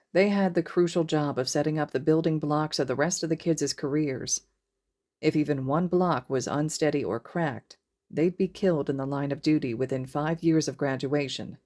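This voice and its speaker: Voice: medium pitch, a little mellow. A narrator reading scripted fiction as an audiobook-style story. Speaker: podfic narrator.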